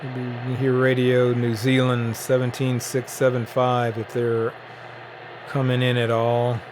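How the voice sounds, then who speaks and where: A man speaks slowly and in a low-key way, with a medium-pitched voice. He thinks aloud haltingly, like a hobbyist casually showing his equipment. Radio noise sits behind his voice. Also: completely flat delivery. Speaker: shortwave radio hobbyist presenting. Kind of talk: casual unscripted commentary while tuning and showing gear.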